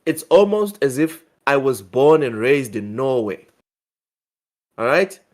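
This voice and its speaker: A man co-hosting a casual gaming news show, talking excitedly and often repeating words as he thinks. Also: medium pitch, takes it slowly. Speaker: podcast co-host.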